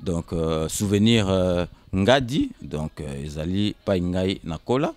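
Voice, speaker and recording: Halting, low-voiced Congolese man in his fifties. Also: big pitch swings, darkish tone. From Kinshasa, Congo. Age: 50s. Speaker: interview guest. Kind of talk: casual interview storytelling, switching between Lingala and French. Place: indoors on a sofa. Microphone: handheld microphone held by interviewer.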